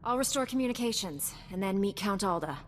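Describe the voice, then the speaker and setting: An actress playing a resolute young female knight in a video game, calm, direct and earnest. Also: medium pitch, brisk, long reverb tail, slight background noise, lightly acted. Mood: composed. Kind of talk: video game cutscene acting.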